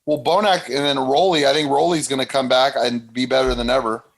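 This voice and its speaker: Gravelly man chatting on a video call. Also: mid-range voice, fairly even intonation, brisk. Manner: casual, repeats himself when starting sentences. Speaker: co-host. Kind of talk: casual podcast chat.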